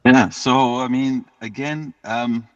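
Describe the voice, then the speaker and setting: A man, telling his story as an interview guest in a relaxed way and often repeating words as he restarts. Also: mid-range voice, slow pace. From United Kingdom. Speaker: guest musician. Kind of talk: casual interview chat. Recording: dull lo-fi sound with long reverb.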